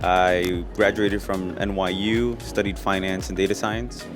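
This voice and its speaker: Deep, flat-voiced young man. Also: unhurried, over music. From Dominican Republic, raised in Brooklyn. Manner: brief self-introduction. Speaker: panelist.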